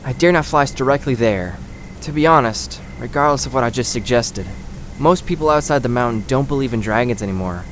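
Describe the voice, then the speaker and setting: A man as an earnest mid-pitched dragon hero. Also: brisk, fairly bright tone, slight background noise, lightly acted. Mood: decisive, cautious. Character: earnest, cautious male dragon shapeshifter hero.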